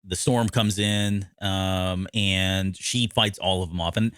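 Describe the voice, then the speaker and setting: Man, American, from the Midwest, low voice, casual recap chat, very dry recording. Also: fairly even intonation, slow pace, fairly bright tone, smooth, dry recording. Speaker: podcast co-host.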